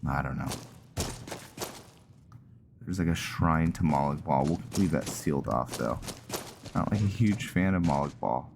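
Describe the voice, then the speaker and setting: A man's voice: very deep voice, a little slow, gravelly, long pauses, noisy background. A man giving casual, conversational let's-play commentary, musing aloud as he plays. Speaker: gaming streamer, host.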